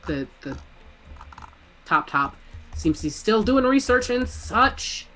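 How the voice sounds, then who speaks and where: A woman narrating a tabletop game as its game master, easygoing and quick to laugh. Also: a little high, very animated, fairly bright tone, a little hiss or hum, rough recording.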